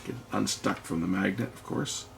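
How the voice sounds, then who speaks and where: A man's voice: low voice, brisk, vocal fry, a little hiss or hum. A man giving casual hands-on narration as host of an electronics repair video, half muttering to himself as he works.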